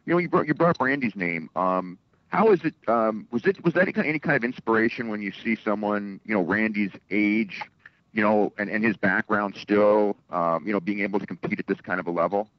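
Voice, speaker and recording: Low-voiced halting man on a muffled call. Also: no echo. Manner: halting, stop-start phrasing. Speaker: sports reporter asking questions. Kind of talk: casual press questioning. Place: on a telephone conference call. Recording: dull lo-fi conference call line.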